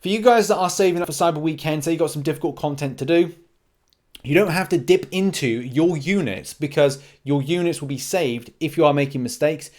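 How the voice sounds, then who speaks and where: A man with a slightly high-pitched voice hosts a gaming update. He speaks casually and off the cuff, rambling a little as he thinks aloud. His commentary often breaks into short, clipped asides. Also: brisk, a little mellow. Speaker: gaming video host. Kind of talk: casual unscripted game news commentary.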